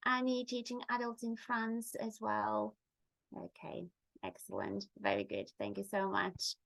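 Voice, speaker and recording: A woman's voice: medium pitch, expressive, a little slow, vocal fry, fairly bright tone, no echo, rough recording. A middle-aged woman whose first language is Bulgarian, presenting an online webinar in a friendly way with many ums and uhs. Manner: friendly, with frequent fillers.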